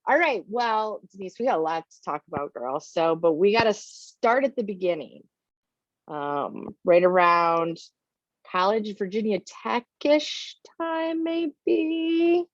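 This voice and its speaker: A woman hosting a podcast who chats casually and reacts with loud exclamations. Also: medium pitch, animated, slow, no echo, lo-fi sound. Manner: chatty and exclamatory. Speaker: podcast host. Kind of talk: casual interview.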